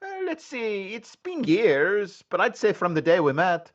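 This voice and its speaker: A man's voice: high-pitched, big pitch swings, a little slow, lo-fi sound, exaggerated voice. An actor playing a cheeky, sarcastic male hairstylist questioned by detectives in a comedic radio drama. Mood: sassy. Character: sassy male hairstylist suspect.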